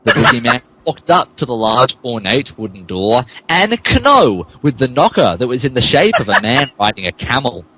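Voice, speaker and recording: Male podcast host, comic narrator, animated intonation, medium pitch, casual mock-dramatic style. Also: moderate pace, hardly any pauses, slight background noise, poor audio. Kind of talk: casual comic banter and mock-dramatic fan fiction reading.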